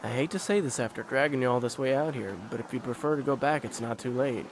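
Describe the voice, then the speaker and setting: A man voicing a calm, polite teenage esper boy in an amateur anime fan dub, explaining things in a composed, courteous way. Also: medium pitch, quick pace, background noise, lightly acted. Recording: loud music under the voice.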